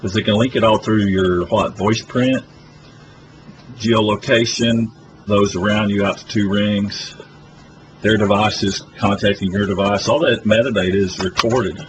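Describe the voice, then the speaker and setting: Low-voiced male public access host, rambling and halting, noisy phone-camera audio. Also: fairly even intonation. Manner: rambling, hesitant with many fillers. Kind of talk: rambling live phone-in broadcast.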